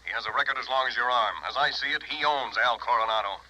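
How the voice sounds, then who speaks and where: An actor playing a concerned, businesslike colleague, urgent and matter-of-fact in 1950s radio drama style. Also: male voice, medium pitch, fast talker, sharp tone, dry recording, low-quality recording. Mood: pressing, serious. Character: brisk, concerned, businesslike male informant colleague.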